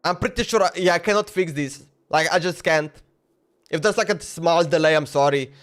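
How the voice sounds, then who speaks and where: A man chatting casually on a live game stream, speaking haltingly and repeating himself. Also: on the high side, fairly bright tone.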